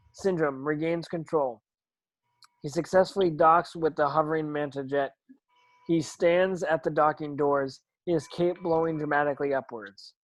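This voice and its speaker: A man narrates a screenplay at an amateur table read. His voice is on the high side and recorded dry. He reads haltingly and often stumbles over words. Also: no room sound, low-quality recording. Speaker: stage directions reader.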